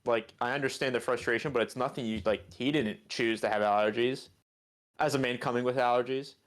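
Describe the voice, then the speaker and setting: A man's voice: medium pitch, fairly even intonation, a little fast. Young man bantering as a podcast co-host. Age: 20s.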